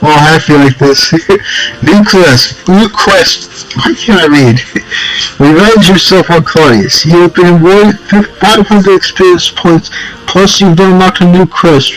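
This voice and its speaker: A man with a slightly high voice reads a text adventure game aloud. He makes amused side comments as he goes. He clears his throat now and then and rereads phrases he stumbles over. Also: fairly bright tone, rough recording. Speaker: game player reading aloud.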